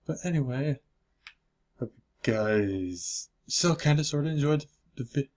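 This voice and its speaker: A woman's voice: a bass voice, lively intonation, unhurried, darkish tone. A woman giving casual reaction commentary as a video host, in muttered asides. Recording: faint music behind the voice.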